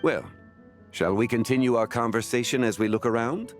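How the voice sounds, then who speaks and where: A man voicing a dignified, learned antiquities connoisseur in an animated game, formal and composed. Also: mid-range voice, soft background music, lightly acted. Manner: formal, measured, erudite.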